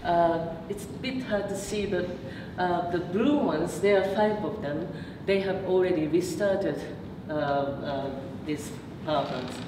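A woman's voice: lowish voice, measured pace, few pauses. A woman, giving a public talk as a researcher, hesitant with many fillers and restarts. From Japan. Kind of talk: public lecture with slides. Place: meeting room. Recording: echoey room from far off, noisy.

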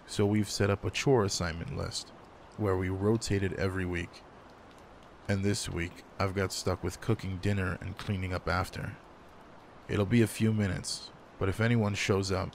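A man playing a gruff but caring werewolf pack alpha in an intimate roleplay audio drama, speaking in a low-key, casual, matter-of-fact way. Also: little pitch movement, moderate pace. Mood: calm, welcoming. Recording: noticeable background noise.

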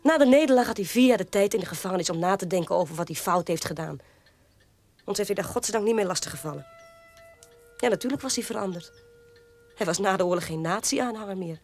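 Husky, weary, proud woman. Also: mid-range voice, expressive, fast talker, a little mellow, soft background music. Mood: defensive. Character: weary, proud sister defending her disgraced father. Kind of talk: radio drama dialogue.

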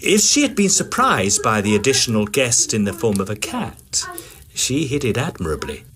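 An actor playing a polite, fussy Edwardian gentleman ghost hunter in an audio drama, with an English accent and a formal, slightly hesitant manner. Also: mid-range voice, sing-song, moderate pace. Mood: wry narrating. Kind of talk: audio drama acting and first-person narration.

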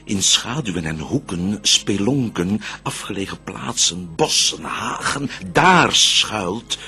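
A cunning spirit of darkness, gives counsel in measured radio-drama verse. The lowish voice is sinister and sharp, rising and falling theatrically as it declaims. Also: male voice, expressive, full character voice. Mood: secretive, sinister. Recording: noisy radio play recording with hum.

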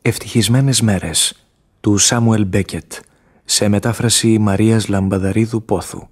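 Male radio announcer, low and raspy, formal scripted introduction in measured phrases. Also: fairly even intonation, a little mellow.

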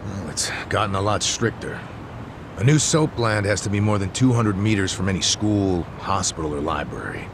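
An actor playing an earnest, gruff middle-aged man in a video game, speaking hesitantly with a gravelly voice. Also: low-pitched, normal speed, background noise. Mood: explanatory. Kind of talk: English video game cutscene dub. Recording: music behind the voice.

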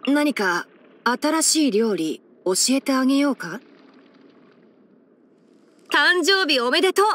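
High, boyish young forest ranger. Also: female voice, expressive, takes it slowly, a bit bright, heavily acted. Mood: pleased, warm. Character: young male fox-eared forest ranger chief, clever, gentle. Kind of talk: Japanese game character voice-over.

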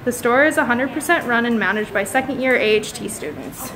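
A woman's voice: a little high, a little fast. Young woman guiding a clinic tour. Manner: steady, informative, scripted delivery. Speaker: student tour guide. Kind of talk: guided facility tour. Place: veterinary teaching clinic rooms. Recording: echoey room, noisy background.

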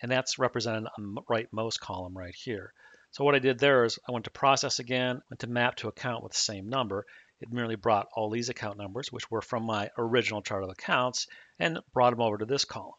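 Guy talking through a software tutorial step by step, kinda muffled dry recording. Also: medium pitch, fairly quick, dry recording. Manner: plain, step-by-step explaining. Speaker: software trainer. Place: at a computer, voice-over on a screen recording. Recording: dull dry mic audio.

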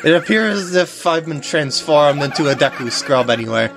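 A woman's voice: low voice, lively intonation, moderate pace, vocal fry. A woman giving gameplay commentary as she plays, with joking, sarcastic asides. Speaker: video game walkthrough commentator. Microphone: compact camera microphone. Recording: game music behind voice.

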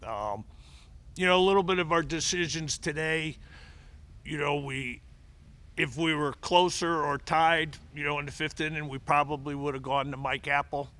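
A man's voice: a little high, moderate pace, sharp tone. A middle-aged man, a head baseball coach, answering press conference questions at a table mic, often restarting and repeating words. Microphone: table mic in front of him.

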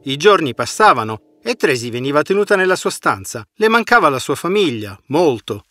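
A man narrating a scripted fairy tale in an expressive storytelling manner. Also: a little high, brisk, dry recording. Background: background music.

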